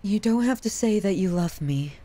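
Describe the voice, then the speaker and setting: A woman playing an obsessive, self-loathing young yandere in an intimate ASMR roleplay, with an emotional, halting, pleading delivery. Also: mid-range voice, expressive, dark tone, natural voice. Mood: tender, melancholy.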